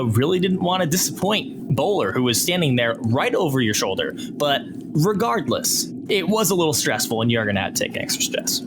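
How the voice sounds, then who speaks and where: A man narrating as game master of a tabletop roleplaying game, relaxed and filler-heavy. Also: mid-range voice, noisy background.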